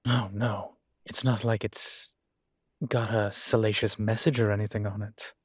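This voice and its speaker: A man playing a vain, seductive yet politely awkward incubus demon professor in an intimate audio roleplay, speaking hesitantly with drawn-out phrasing. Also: on the low side, flat delivery, breathy edge, no echo, studio quality. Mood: sheepish. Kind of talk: intimate audio roleplay, one-sided conversation.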